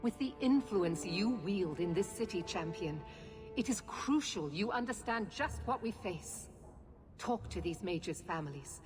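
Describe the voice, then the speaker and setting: An actress playing a stern, zealous knight commander in a video game, formal and imperious. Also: female voice, medium pitch, expressive, a little slow, lightly acted. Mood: imperious, challenging. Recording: long hall reverb, music behind.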